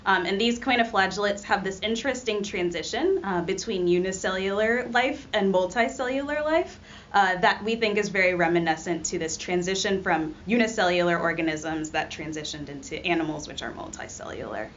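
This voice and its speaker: A woman's voice: mid-range voice, fairly quick, fairly bright tone. A young woman from Rwanda, a postdoc researcher, explaining her work in an interview with frequent ums and uhs. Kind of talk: conversational science interview. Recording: slightly dull audio with faint noise.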